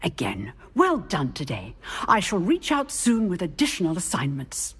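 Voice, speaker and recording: A woman's voice: on the low side, huge pitch swings, moderate pace, a bit bright, slightly rough, heavily acted. A woman voicing a strict, demanding female magic professor, with a formal, commanding delivery. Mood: stern. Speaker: professor teaching dueling. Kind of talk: video game voice acting.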